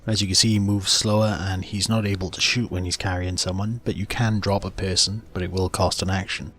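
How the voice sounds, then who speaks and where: A man's voice: deep voice, level tone, brisk, fairly bright tone. A man giving explanatory, matter-of-fact commentary as he hosts a video game walkthrough. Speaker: gaming video host. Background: video game music and sounds.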